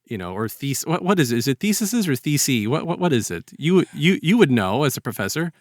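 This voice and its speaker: A man in a casual, reflective podcast discussion, speaking haltingly and repeating words as he builds a point. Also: on the high side, sing-song, no echo, clean studio sound.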